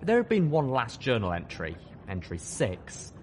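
A man's voice: mid-range voice, huge pitch swings, measured pace, darkish tone, a little hiss or hum. A man reading scripted first-person fiction as an audiobook narrator.

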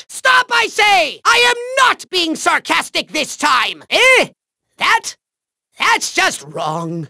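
An actor playing a pompous, tantrum-prone little cartoon villain in a video game, with a shrill, gravelly, theatrical delivery. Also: male voice, pushed and bright, exaggerated voice. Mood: flustered, indignant. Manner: theatrical, grandiose, sneering, cackling.

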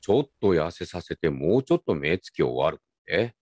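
A man's voice: low voice, sing-song, moderate pace, a little mellow, dry recording, heavily acted. A man playing a teasing older uncle talking to his grown nephew in a radio drama. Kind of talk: radio drama acting in Japanese.

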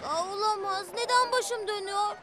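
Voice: very high voice, steady tone, normal speed. A performer voicing an anxious, curious young talking bus in a children's cartoon dub, with music underneath. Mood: puzzled.

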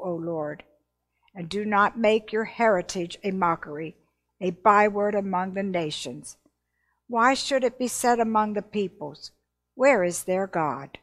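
An older woman reading prayer and scripture aloud in a solemn, measured and formal manner. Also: mid-range voice. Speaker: scripture reader. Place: indoors at a church lectern.

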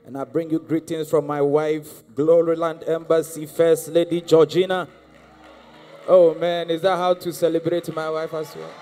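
A man's voice: on the high side, flat delivery, moderate pace, mellow, a little hiss or hum. A man in his thirties with a Ghanaian accent, a guest prophet preaching a charismatic sermon on stage with a handheld mic, exhorting the crowd and repeating lines for them to answer. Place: large church hall on stage. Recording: live church hall with long reverb and music.

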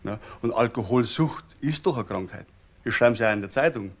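An actor with a Bavarian accent playing a chatty, self-assured musician giving testimony in a folksy way in a crime radio drama. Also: male voice, medium pitch, fairly quick, close to an everyday voice. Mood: chatty, knowing. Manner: folksy, colloquial. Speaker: witness, musician.

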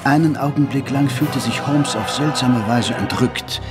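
A man's voice: medium pitch, measured pace. A man narrating an audio drama in a dramatic, scripted storytelling style. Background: dramatic music.

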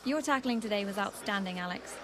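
A woman playing a brisk, professional post-match sports interviewer in a video game. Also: mid-range voice, lightly acted. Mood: businesslike. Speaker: sports reporter. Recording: clear background ambience noise.

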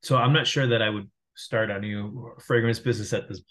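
A man's voice: fairly low pitch, steady tone, fairly quick, a bit bright. Reflective man on a video call. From Venezuela. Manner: reflective, many restarts and fillers. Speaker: founder and guest. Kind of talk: casual interview talk. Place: home room via video call. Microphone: video call webcam mic.

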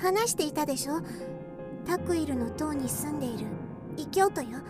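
An actress playing a defiant, anguished young daughter and bride in a radio drama. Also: female voice, high-pitched, animated, moderate pace. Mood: bitter. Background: music.

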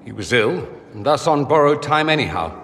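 A man's voice: medium pitch, big pitch swings, normal speed, a bit bright, long reverb tail, slight background noise. An actor playing a witty, vain, wounded nobleman mage in a fantasy game, articulate and theatrical. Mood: mournful, reflective. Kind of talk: dramatic fantasy game dialogue.

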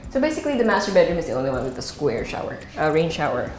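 A woman's voice: low-pitched, sing-song, racing, a little hiss or hum. A woman with a Filipino accent hosting a casual, chatty house tour as she walks through empty rooms. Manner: chatty, unscripted, switches into Tagalog asides. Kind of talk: casual guided property tour, presenting while walking. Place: empty rooms and stairwell indoors. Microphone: wireless body mic, pack at waist. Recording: echoey bare rooms, music underneath. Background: music.

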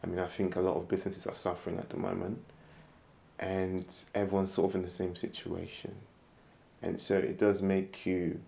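Man, 30s, deep gravelly voice, soft-spoken and reflective, interview in his workshop. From London. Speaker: woodturner, interviewee. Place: woodturning studio workshop.

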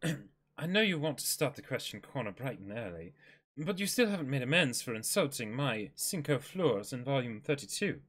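A man's voice: medium pitch, very animated, fairly quick, fairly bright tone. A man in his twenties, playful and exclamatory, doing a dramatic reading with casual banter as a co-host. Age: 20s. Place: indoor room, seated.

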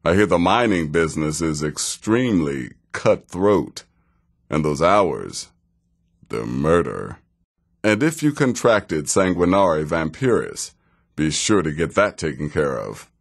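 A sardonic veteran assassin from a fantasy game, with a very low voice. He takes his time over each phrase, and his dry sarcasm rides on wide, expressive swings of pitch. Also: vocal fry, full character voice. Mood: wry, sardonic. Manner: dry, sarcastic, measured, drawn-out delivery. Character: wry, sardonic veteran male assassin handing out contracts. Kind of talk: fantasy video game character dialogue.

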